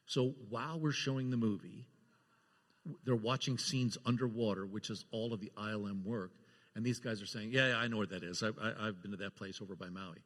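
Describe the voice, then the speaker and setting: A man's voice: fairly low pitch, fairly even intonation, brisk, fairly bright tone. A man telling casual production stories on a panel, acting out the dialogue as he goes. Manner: acts out dialogue within his stories. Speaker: guest film-maker sharing production memories. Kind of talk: casual anecdotal storytelling. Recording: echoey hall with long reverb.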